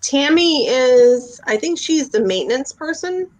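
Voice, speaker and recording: A woman's voice: high voice, lively intonation, unhurried, crowd noise, poor audio. Chatty middle-aged woman telling anecdotes. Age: 50s. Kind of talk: casual chat.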